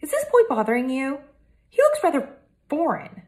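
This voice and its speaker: A woman voicing a snobbish, haughty young girl who talks loudly, slowly and disdainfully. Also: high-pitched, wildly swooping pitch, pitched above the performer's own voice. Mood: sneering. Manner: loud, slow and disdainful.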